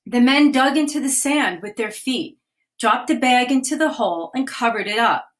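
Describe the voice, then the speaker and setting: A woman with a slightly raised voice reads a children's picture book aloud over a live video stream. She paces each line gently and clearly, stopping now and then to point out what the pictures show. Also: on the high side, lively intonation, normal speed. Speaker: children's author reading aloud. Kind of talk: storybook reading aloud. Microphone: phone or webcam on a live video stream.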